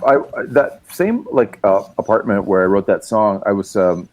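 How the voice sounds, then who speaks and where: Deep-voiced man telling rambling stories. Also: normal speed. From Canada. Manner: rambling, animated storyteller with many fillers. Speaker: guest musician and writer. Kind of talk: casual storytelling chat.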